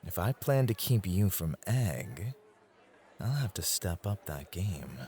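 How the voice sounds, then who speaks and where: A suave club host acted by a man speaks low and very unhurriedly, with pitch that moves around a lot. He is flirtatious and possessive, teasing and intimate, in the style of an ASMR roleplay. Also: very animated, mellow, exaggerated voice. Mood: devoted, possessive. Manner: teasing, flirtatious, intimate address with drawn-out phrasing.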